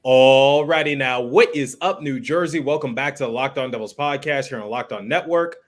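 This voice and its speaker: A man's voice: medium pitch, moderate pace, faint music behind. A man hosting a sports podcast, introducing and interviewing in an upbeat style. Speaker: podcast host.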